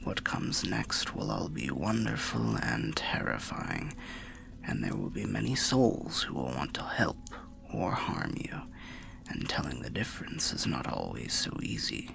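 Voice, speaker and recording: Man voicing a very deep, gravelly whispering old undead warrior. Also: wildly swooping pitch, faint music behind, heavily acted. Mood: musing, cautionary. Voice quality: breathy, gravelly half-whisper. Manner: slow, rambling, archaic, gently cautionary storyteller. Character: weary, kindly old undead warrior soul, cryptic guide. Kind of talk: ASMR fantasy roleplay monologue.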